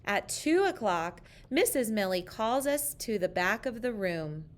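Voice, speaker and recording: A woman's voice: mid-range voice, sing-song, unhurried. A woman reading a children's picture book aloud, narrating expressively with careful phrasing for young listeners. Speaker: storybook reader.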